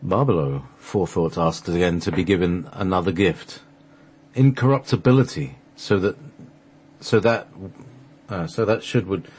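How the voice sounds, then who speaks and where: A man narrating a sacred text for a radio play, halting at times as he reads from the script. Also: deep voice, a little mellow, slightly rough. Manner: halting, stumbles over the script and restarts.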